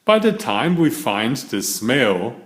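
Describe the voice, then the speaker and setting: A man's voice: medium pitch, very animated, slow, echoey room. A man voicing a grumpy but giggly young toad in a children's storybook, with grumbles and groans. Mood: grumbling.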